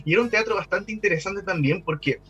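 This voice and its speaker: A man's voice: high voice, fast, bright, forceful tone, muffled recording. A man with a Chilean accent speaking as a guest expert, explaining in a conversational, discursive interview style with some repeated words. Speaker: guest expert on local architectural history.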